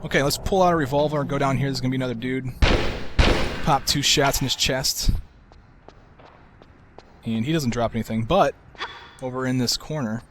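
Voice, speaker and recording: A man walks viewers through a video game in a relaxed, conversational way. His voice sits at an ordinary middle pitch and has a soft, soothing quality. Game sounds are clearly audible behind him. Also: moderate pace, long pauses, background noise. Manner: relaxed, soft-spoken, self-described soothing voice. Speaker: game walkthrough commentator. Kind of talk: casual gameplay commentary.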